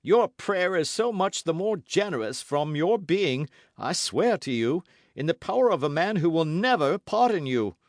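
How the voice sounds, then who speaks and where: A man voicing a vengeful nobleman who speaks loudly and with scorn. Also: mid-range voice, sing-song, measured pace, gravelly, dry recording, clean studio sound, pitched above the performer's own voice. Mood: scornful. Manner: scornful and loud.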